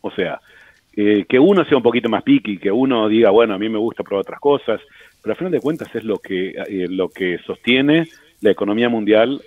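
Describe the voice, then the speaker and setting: A man with a husky, somewhat low voice, recorded dry and close. He gives his opinion casually. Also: a little fast, no echo, narrow, tinny audio.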